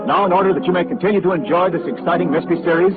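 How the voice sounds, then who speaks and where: High-voiced 1940s radio announcer, man. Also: very fast. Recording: tinny 1940s radio broadcast with music.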